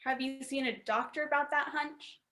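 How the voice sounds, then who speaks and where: Woman acting a perky space scientist, very high, piercing. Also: steady tone, normal speed, bright, forceful tone. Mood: curious. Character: perky, excitable female space scientist crew member. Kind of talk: campy radio play sci-fi serial acting.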